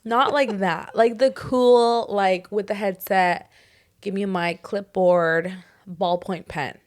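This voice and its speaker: A young woman co-hosting a podcast in casual chat, talking in a hoarse voice that is still recovering, full of "like" and "dude.". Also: mid-range voice, fairly even intonation, slow pace, a little mellow. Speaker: podcast co-host.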